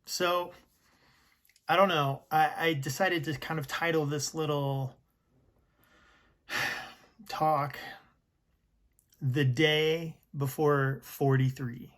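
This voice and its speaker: Slow, thoughtful man in his forties. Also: on the high side, steady tone, fairly bright tone. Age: 40s. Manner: slow, thoughtful, sometimes drops to a whisper. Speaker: vlogger. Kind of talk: reflective personal talk to camera.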